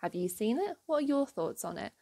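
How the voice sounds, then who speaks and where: A woman hosting a history channel, giving a clear, measured scripted narration with an enthusiastic storytelling manner. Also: on the high side, big pitch swings, darkish tone.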